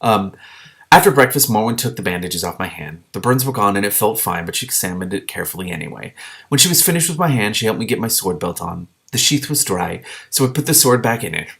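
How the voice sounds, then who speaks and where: Male book reader, lower register, informal and expressive, for fantasy storytelling with off-the-cuff commentary. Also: fast talker, creaky. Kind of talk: reading a children's fantasy novel aloud with casual commentary.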